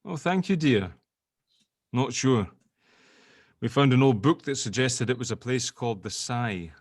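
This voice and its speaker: A man playing a protective middle-aged dad in an audio drama table read, chatty, hesitant and gently awkward. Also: medium pitch, expressive, unhurried, no room sound, studio quality. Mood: chatty, teasing.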